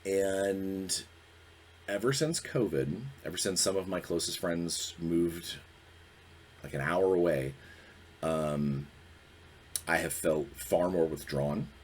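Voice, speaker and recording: A man in his forties hosting his podcast alone, talking candidly in an earnest, reflective and halting way. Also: sits low, slight background noise. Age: 40s. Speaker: podcast host. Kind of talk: candid solo talk.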